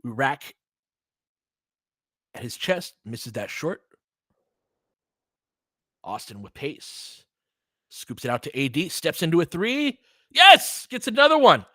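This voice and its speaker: A man's voice: on the high side, big pitch swings, slow, long pauses, bright, forceful tone, no echo. Man doing dry, close-miked basketball commentary. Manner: conversational, explanatory, long-winded. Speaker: basketball play-by-play host and analyst. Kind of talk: live sports commentary mixed with casual analysis.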